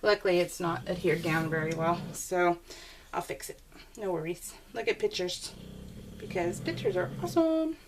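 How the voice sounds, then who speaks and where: A woman's voice: on the low side, animated, moderate pace. A woman chatting informally and in a rambling way to her viewers as she hosts a casual crafting video. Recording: distant mic with faint background noise.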